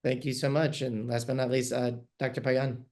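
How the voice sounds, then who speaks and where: A man from New York moderating a virtual panel, friendly and a little halting, with frequent fillers. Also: medium pitch, level tone, darkish tone. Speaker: panel moderator, managing editor. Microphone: video call.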